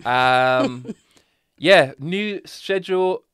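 A man's voice: on the high side, drawn-out words, fairly bright tone, clean tone, no room sound, studio quality. A man co-hosting a podcast in casual chat, playful and teasing, stretching words out for emphasis. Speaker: podcast co-host.

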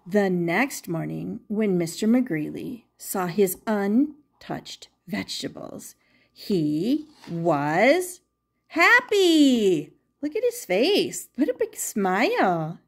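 Very slow-paced older woman reading a storybook. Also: medium pitch, creaky, breathy edge. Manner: expressive, addresses young listeners with questions and exclamations. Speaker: grandmother reading picture books to children. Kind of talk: animated children's storybook read-aloud.

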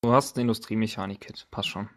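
A man's voice: lowish voice, fairly even intonation, fast talker, fairly bright tone. A man acting as game master, chatting casually and explaining things in a wry, joking way. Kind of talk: casual game-table chat.

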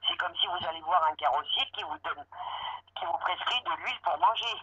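A woman's voice: fairly low pitch, brisk, sharp tone, breathy edge. A woman working as a supermarket employee, insistent and exasperated as she deals with a customer by phone. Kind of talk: customer service phone call. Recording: dull phone line with noise.

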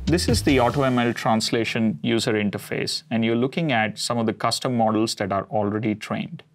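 Man calmly walking through a tech demo. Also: on the low side, a little fast, faint music behind. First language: Konkani. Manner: steady explanatory, occasional "uh" fillers. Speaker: cloud product presenter. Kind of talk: scripted tech demo narration. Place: studio set with map backdrop.

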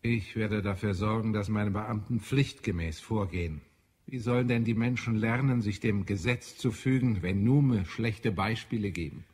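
Casting: dutiful, authoritative alien official for audio drama, slightly low, raspy tone. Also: male voice, darkish tone. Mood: firm, sceptical. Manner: formal, measured, authoritative. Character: composed, dutiful male Martian chief administrator. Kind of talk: German audio drama acting.

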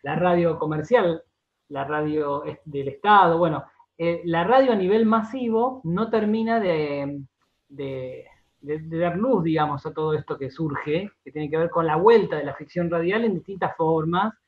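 An Argentine man being interviewed on radio, explaining in a halting, conversational way and often repeating his words. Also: a little high, phone-quality sound. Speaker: interview guest, radio drama director and writer.

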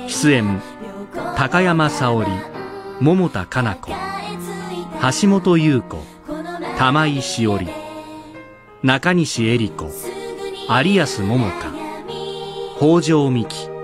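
An announcer reading out scripted radio drama credits. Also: mid-range voice.

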